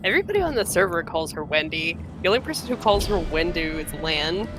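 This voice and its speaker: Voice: medium pitch, very animated, quick pace, music in the background. A game streamer giving casual commentary in offhand, joking asides.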